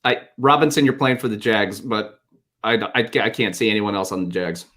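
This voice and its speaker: A man giving casual sports advice as a panelist, speaking haltingly and repeating words for emphasis. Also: lowish voice, sharp tone. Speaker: fantasy football panelist.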